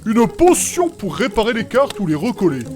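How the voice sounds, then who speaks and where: A man's voice: high-pitched, big pitch swings, moderate pace. A man playing an indignant, sarcastic, scolding potion maker in a French fantasy comedy audio drama. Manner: sarcastic, exasperated, vulgar.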